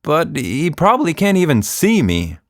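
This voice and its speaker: A man's voice: fairly high pitch, huge pitch swings, moderate pace, no echo, studio quality, voice pushed up. A man voicing an anxious, tiny young girl in a children's story, nervous and full of doubt.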